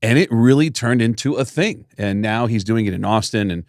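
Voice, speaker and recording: A man's voice: fairly low pitch, a little fast, a little mellow, no room sound, clean studio sound. A man co-hosting a podcast, chatting casually and eagerly asking lots of questions. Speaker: podcast co-host.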